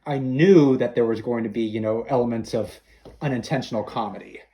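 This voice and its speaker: A man speaking as a podcast guest in a casual film chat, working out his thoughts aloud in halting steps. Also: medium pitch, dark tone.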